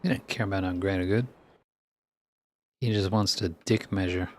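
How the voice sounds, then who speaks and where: A man's voice: low-pitched, fairly even intonation, normal speed, a little mellow. A man streaming a game, tossing out offhand, slangy, teasing reactions. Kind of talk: offhand reactions while playing.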